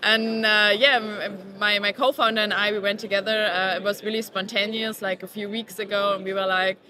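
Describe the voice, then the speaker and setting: A woman in her thirties speaks as a podcast guest and co-founder of a startup. She talks haltingly, with many fillers and restarts. She is recorded indoors at a busy conference venue, with the chatter of the crowd clearly heard behind her. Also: medium pitch, steady tone. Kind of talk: casual interview answers.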